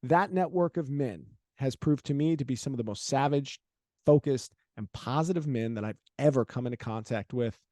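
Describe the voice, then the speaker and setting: A man's voice: medium pitch, moderate pace, mellow, dry recording, clean studio sound. A man hosting a podcast, chatting casually and energetically, swearing now and then and laughing often. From Louisiana, USA. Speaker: podcast host. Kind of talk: casual interview chat.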